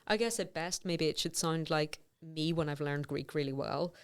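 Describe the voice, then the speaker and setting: A woman's voice: deep voice, normal speed, clean tone. A middle-aged woman researcher, a podcast guest, talking things through thoughtfully with lots of fillers and restarts. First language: English. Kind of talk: conversational interview.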